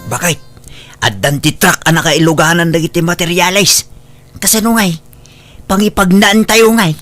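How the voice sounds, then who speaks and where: Guy doing worried ilocano husband, complaining, sharp tense voice, comedy drama. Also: high-pitched, moderate pace, faint music behind. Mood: questioning. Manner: complaining, questioning. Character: fretful husband worried about a troublesome neighbour.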